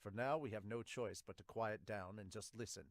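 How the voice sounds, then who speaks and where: A young man giving an amateur dramatic read-aloud of manga dialogue, somewhat halting, into a close mic. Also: on the low side, no room sound. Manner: halting reading with stumbles. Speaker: podcaster reading a manga aloud as an amateur dub. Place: small home room, indoors. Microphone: large studio mic with pop filter, close.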